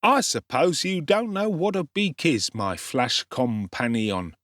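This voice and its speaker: A man's voice: fairly high pitch, animated, takes it slowly, fairly bright tone, no echo, clean studio sound, pitched above the performer's own voice. A man voicing a swaggering, streetwise Cockney boy with a brash, slangy, self-assured manner in an audiobook. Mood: amused, knowing.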